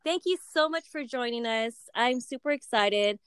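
A woman's voice: on the high side, animated, normal speed, smooth, dry recording, studio quality. A woman in her thirties hosting an interview show, upbeat and gushing as she welcomes her guest. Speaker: podcast host. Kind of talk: casual interview chat.

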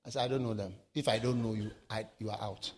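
A man from Africa preaching a sermon, swinging between shouted lines and low, quiet asides. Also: fairly even intonation, fast talker, breathy edge. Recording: live sermon with long hall reverb.

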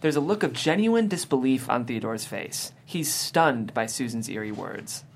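A narrator reads out screenplay action and scene headings. The pitch sits in a middle range and moves up and down a lot as each scripted direction is delivered. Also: animated, normal speed, slight background noise.

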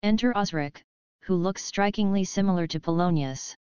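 A woman's voice: on the low side, normal speed, a little mellow, clean tone, dry recording. A woman narrating stage directions from a script for an audio play. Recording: slightly dull, dry recording.

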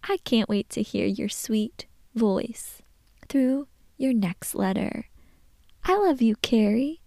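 A woman reading a tender letter from Jesus to a child in a soft whisper. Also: a little high, expressive, slow, vocal fry, no room sound, studio quality, full character voice. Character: gentle loving male Jesus writing to a child.

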